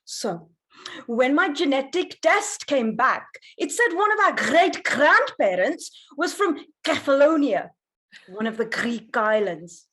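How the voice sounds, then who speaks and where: A woman's voice: high voice, big pitch swings, takes it slowly, fairly bright tone, heavily acted. A woman playing a theatrical, whimsical and defensive mother in her fifties at a screenplay table read. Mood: breezy, insistent.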